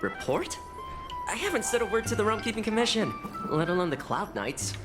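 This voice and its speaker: An actress playing a wary, weary female healer in a game, plain-spoken and expressive. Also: mid-range voice, brisk, over music, close to an everyday voice. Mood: suspicious.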